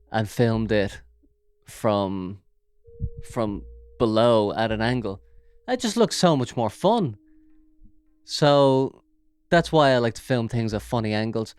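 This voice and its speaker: A man's voice: medium pitch, lively intonation, takes it slowly, pauses often, darkish tone, clear, resonant tone, no echo. An Irish man hosting a casual solo podcast, rambling and jokey with lots of fillers, speaking into a big studio microphone. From Ireland. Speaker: podcast host.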